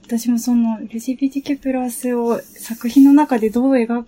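Thoughtful woman with a soft, mellow voice. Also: fairly high pitch, flat delivery, fast talker. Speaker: guest on a talk show. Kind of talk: relaxed reflective casual chat.

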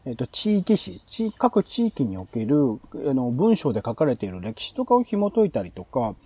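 A man's voice: medium pitch, very animated, normal speed, dark tone, breathy edge, dry recording. A man on a podcast in casual brainstorming talk, explaining his ideas in long, run-on clauses. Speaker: podcast host.

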